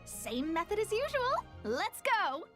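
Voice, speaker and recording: Testy young woman, high pitch, lively swings, haughty, brisk, anime game. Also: loud music behind the voice, full character voice. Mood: eager, brisk. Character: proud, thrifty, testy young woman astrologer. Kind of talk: anime-style video game dialogue.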